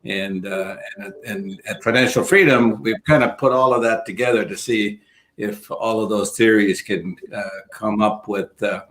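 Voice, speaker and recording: A man in his fifties from Sri Lanka, a financial advisor speaking as an interview guest over a video call, measured and reflective. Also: fairly low pitch, steady tone, a bit bright. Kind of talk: interview conversation.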